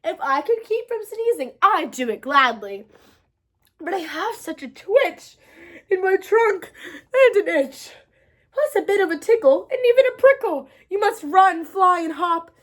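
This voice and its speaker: A woman's voice: very high-pitched, very animated, unhurried, a bit bright, pitched above the performer's own voice, heavily acted. A woman voicing an apologetic, easily frightened storybook elephant in a dramatic, exclamatory manner. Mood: sorry, helpless.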